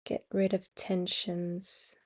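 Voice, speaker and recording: Slow, mellow woman guiding meditation. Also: fairly low pitch, completely flat delivery. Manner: soothing, unhurried instructions with long pauses. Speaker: meditation guide and podcast host. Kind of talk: guided yoga nidra meditation.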